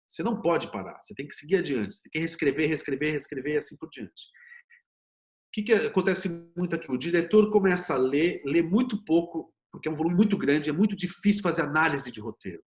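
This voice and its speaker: A Brazilian man speaking as an interview guest, explaining conversationally in an opinionated way with rhetorical questions. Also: fairly high pitch. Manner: opinionated, animated storytelling with rhetorical questions and acted quotes. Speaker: screenwriter and series producer, interview guest.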